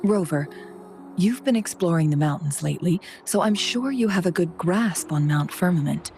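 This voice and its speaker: An actress playing a composed, perceptive noblewoman advisor in a video game, measured and poised, gently probing. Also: fairly low pitch, animated, vocal fry, soft background music. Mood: calm, analytical.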